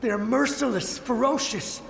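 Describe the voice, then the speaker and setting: A man's voice: extremely high pitch, normal speed, vocal fry, a little hiss or hum, heavily acted. A man voicing a desperate samurai soldier in a video game, with frantic, pleading, dramatic delivery. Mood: desperate dread. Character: desperate dying samurai soldier, a lingering memory. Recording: echoing reverberant hall effect, music behind. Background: music.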